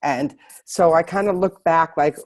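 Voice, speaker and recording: A woman hosting an online interview, hoarse from a cold, often restarting her sentences. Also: sits low, a little mellow. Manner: halting, with many restarts. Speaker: host.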